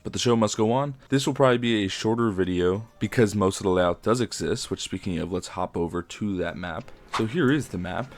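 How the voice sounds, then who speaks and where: A young man with a sore throat and a raspy voice, chatting casually as he builds in a game. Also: lowish voice, brisk, hardly any pauses. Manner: chatty, enthusiastic, frequent fillers. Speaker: game video creator. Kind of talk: casual commentary while building.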